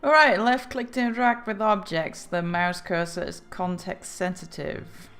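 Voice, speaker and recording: A woman's voice: fairly low pitch, fairly even intonation, moderate pace, a bit bright, faint music behind. A woman giving casual let's play commentary over a game. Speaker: gameplay commentator.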